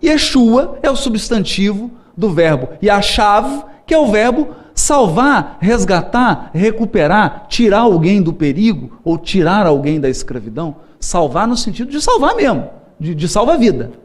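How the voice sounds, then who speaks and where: A middle-aged Brazilian man lectures with a high, lively voice that swoops up and down. He is playful, laughs often and acts out the people in his stories. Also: normal speed, few pauses. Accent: Brazilian Portuguese. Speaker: spiritist lecturer. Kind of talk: seminar lecture with storytelling.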